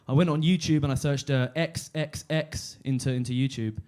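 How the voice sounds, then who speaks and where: A man in his twenties doing a deadpan stand-up set on a comedy club stage, halting with fillers and restarts. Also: mid-range voice. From London. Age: 20s.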